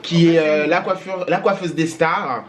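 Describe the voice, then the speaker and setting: Joking guy vlogger a bit high-voiced, crowd chatter all around. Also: steady tone, unhurried. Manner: teasing, jokey, laughs often. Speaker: vlogger interviewing wedding guests. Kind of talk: casual playful interviewing. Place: indoors at a busy hotel complex. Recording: crowd noise behind.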